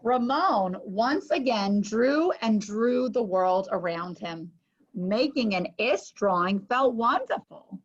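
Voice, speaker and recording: Slow, husky woman reading to children. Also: on the high side, animated. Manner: exclaiming, talking to young listeners. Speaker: host of children's story show. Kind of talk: children's read-aloud with chat. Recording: muffled lo-fi audio.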